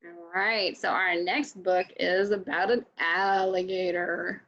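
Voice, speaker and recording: A woman hosting a children's storytime, reading and chatting deliberately and putting questions to young listeners. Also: lowish voice, fairly even intonation, fairly bright tone, muffled recording. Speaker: children's librarian storytime host. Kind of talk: children's read-aloud and chat.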